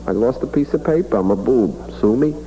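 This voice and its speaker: A man's voice: mid-range voice, lively intonation, a little fast, gravelly, mellow, a little hiss or hum, poor audio. A man hosting a radio show in a casual, wryly self-mocking comic announcing style, on an old 1960s AM broadcast. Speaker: radio host.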